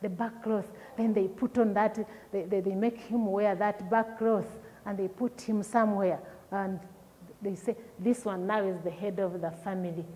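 A middle-aged Ugandan woman teaching a lesson to pupils, explaining slowly, asking them questions and repeating key words. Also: mid-range voice, steady tone, dark tone, in a large hall, poor audio. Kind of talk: recorded classroom lesson for pupils. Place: classroom with projector screen.

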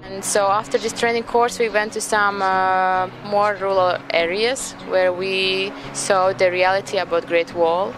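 A woman from Latvia talks casually, with fillers like "like" and "uh". Music plays beneath her mid-pitched voice. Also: fairly even intonation, a little slow, hardly any pauses, rough recording. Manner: casual, uses "like". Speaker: volunteer. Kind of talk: interview answers.

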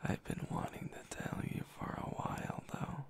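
A man softly whispering the lines of a cheerful, forward young woman in a storybook reading. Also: moderate pace, close to an everyday voice. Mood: tender. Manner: soft whispered delivery.

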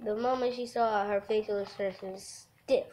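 A woman livestreaming a visual novel, reading the text aloud haltingly and adding goofy, casual asides. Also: medium pitch, fairly even intonation, dark tone, a little hiss or hum, low-quality recording.

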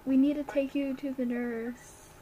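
A woman's voice: high voice, little pitch movement, a little slow, warm tone, poor audio. A woman acting a student in an amateur game roleplay.

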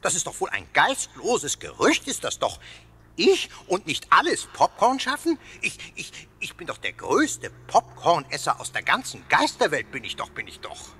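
Husky, wildly swooping cheeky little ghost. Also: male voice, on the high side, huge pitch swings, normal speed, sharp tone, full character voice. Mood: boastful indignation. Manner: repeats sentence endings, self-satisfied. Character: cheeky, boastful popcorn-mad little castle ghost. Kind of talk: children's audio drama acting.

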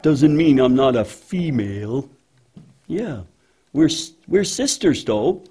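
A man voicing a patient, motherly female cow with a deep voice in a children's church puppet show, gently and hesitantly explaining things. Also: very animated, slow pace, slightly rough, heavily acted. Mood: mildly indignant.

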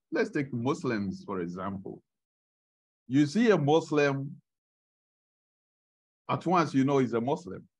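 A middle-aged man preaching a sermon to a congregation in a church meeting room, asking them questions as he goes, heard from a camera at the back. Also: medium pitch. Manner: unhurried, asks the congregation questions. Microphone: far camera at the back of the room.

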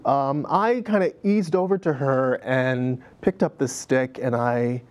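A man's voice: mid-range voice, fairly even intonation, measured pace. A middle-aged man, a dance director interviewed as a guest in a TV studio, telling reflective stories with many fillers and easy laughter. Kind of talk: conversational interview. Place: TV studio set.

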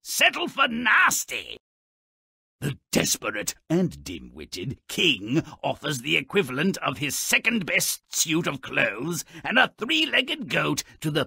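An actor voicing a gleefully morbid, sardonic dwarf storyteller in a video game, raspy, mocking and theatrical as he narrates twisted fairy tales. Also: medium pitch, bright, forceful tone, no room sound, clean studio sound, full character voice. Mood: dry, mocking. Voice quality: raspy, gravelly. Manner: mocking, theatrical, relishing the gruesome.

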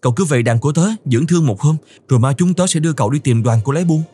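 A man voicing a calm, kindly antelope in a cartoon, speaking in a steady, reassuring manner. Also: a little high, racing, breathy edge, faint music behind. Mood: kindly advice. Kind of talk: cartoon voice acting.

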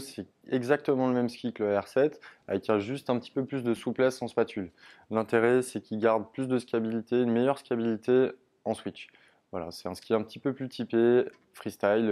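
A young man, a ski sales advisor, presents a product range in a steady, informative way with frequent hesitation fillers. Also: medium pitch. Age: young adult. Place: indoor shop studio in front of a ski wall.

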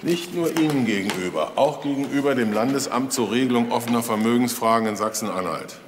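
A man's voice: mid-range voice, a little fast, noisy background, close to an everyday voice. A man playing a dogged police detective in a crime drama, questioning pointedly and insistently. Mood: matter-of-fact. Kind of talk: German crime drama acting.

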